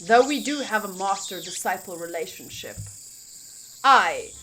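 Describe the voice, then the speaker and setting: A woman streamer with a bright voice and very lively intonation reads game text and comments on it. She reacts playfully and takes her time, with some music playing behind. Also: mid-range voice, measured pace, a little hiss or hum. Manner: reacts playfully, acts out lines between reading. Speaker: streamer playing a visual novel. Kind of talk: playthrough commentary and reading text aloud.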